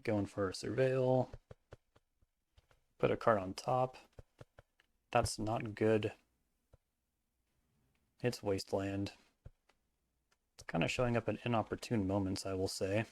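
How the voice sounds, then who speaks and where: Low-voiced man thinking aloud over gameplay. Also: steady tone, slow, long pauses, darkish tone. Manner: thinking aloud, mumbled asides. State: voice hoarse and cracking. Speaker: card game player and commentator. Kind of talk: casual gameplay commentary.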